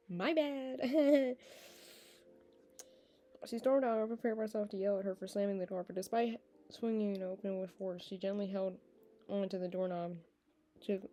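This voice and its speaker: A woman's voice: medium pitch, warm tone. A nineteen-year-old woman reading a visual novel aloud, breaking off into chatty, laughing tangents. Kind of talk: game playthrough with casual asides.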